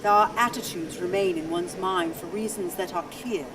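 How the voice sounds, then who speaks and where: A woman's voice: moderate pace, lightly acted. Distant, cool, weary wife played by a woman. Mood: reflective. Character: weary, cool, matter-of-fact wife of a troubled man. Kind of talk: radio play acting. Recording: distant from the mic, noisy ambient rumble.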